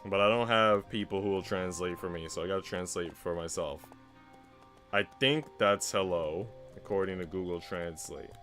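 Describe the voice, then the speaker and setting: A man's voice: sits low, normal speed, fairly bright tone, faint music behind. A man livestreaming in casual, conversational chat with his viewers. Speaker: livestreamer reading visual novels.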